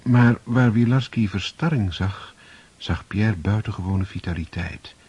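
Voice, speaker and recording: A man narrating a radio drama in a measured, storytelling way. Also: fairly low pitch, darkish tone, slightly rough, highs cut off. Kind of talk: scripted narration.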